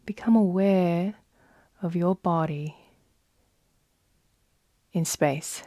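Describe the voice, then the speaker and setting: A woman leading a guided meditation in a soft, soothing manner, with long unhurried pauses. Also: fairly low pitch, no room sound, studio quality. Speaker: yoga nidra guide.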